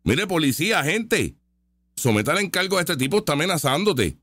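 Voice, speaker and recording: A man rants sarcastically as he comments live on clips. His pitch sits fairly high and jumps around a lot. The sound is dry and close, with no room around it. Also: very animated, a little fast, a bit bright, dry recording. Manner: sarcastic, heated and argumentative. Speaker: online commentary host.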